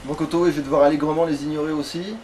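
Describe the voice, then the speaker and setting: A fairly high-voiced man chats casually through a video game as he plays, loosely and with swearing. He sits away from the mic, with some noise around him. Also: little pitch movement, rapid-fire, darkish tone. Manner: informal, swearing. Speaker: let's player. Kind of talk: casual gameplay commentary. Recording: distant mic, clear background noise.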